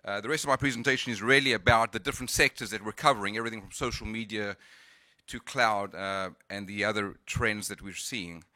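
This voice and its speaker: Bright-voiced man, mixed South African–Californian accent. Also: lowish voice, a little fast, dry recording. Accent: South African and Californian mix. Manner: hesitant, many fillers and restarts. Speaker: conference speaker. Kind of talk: conference presentation.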